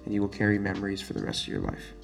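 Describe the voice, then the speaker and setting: Teen male student host, American-sounding accent, low gravelly voice, earnest solo podcast delivery over faint music. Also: sing-song, very fast. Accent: American-sounding, not Singaporean. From Singapore. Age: late teens. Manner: earnest, emphatic, repeats key phrases.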